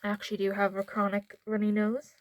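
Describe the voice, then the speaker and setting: A woman's voice: mid-range voice, completely flat delivery, quick pace, dry recording. A woman with a mild Northern Irish accent chatting casually to camera at home, rambling into asides as a beauty vlogger. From Northern Ireland.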